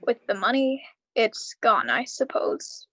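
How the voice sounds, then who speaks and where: Woman playing a halting, weary uncle, high-voiced. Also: big pitch swings, fairly bright tone, slightly rough, no echo, exaggerated voice, low-quality recording. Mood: weary, resigned. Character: weary, heartbroken uncle, slowly recovering after misfortune. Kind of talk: live radio drama acting.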